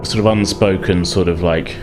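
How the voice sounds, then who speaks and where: A man's voice: deep voice, steady tone, moderate pace, over music. A man narrating a tabletop roleplaying game as game master, improvising in hesitant, piecemeal phrases.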